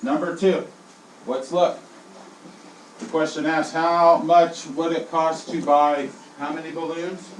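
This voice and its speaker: A male elementary school teacher leading his class in call-and-response, swinging his voice high and low as he drills them. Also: flat delivery, slow. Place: classroom, indoors. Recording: echoey classroom from far off, with noise.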